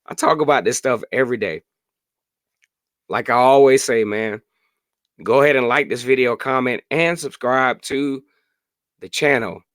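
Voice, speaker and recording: A hoarse man in his late thirties hosting a casual sports podcast live stream, chatting freely and answering viewer comments. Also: medium pitch, moderate pace, pauses often, fairly bright tone, dry recording, studio quality. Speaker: podcast host.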